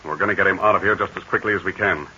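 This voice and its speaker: An actor playing a brave, steady aviator hero in a 1940s radio serial, talking in a calm, assured and commanding way. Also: male voice, low-pitched, rapid-fire, a little hiss or hum. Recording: dull lo-fi 1940 radio transcription.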